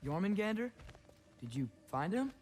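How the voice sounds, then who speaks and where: A man's voice: high voice, very animated, unhurried. A young-sounding male actor playing an earnest, guarded teenage boy adventurer in video game dialogue, hesitant and plain-spoken. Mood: curious, probing. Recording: very noisy, clicks and rustling behind. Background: loud noise with clicks.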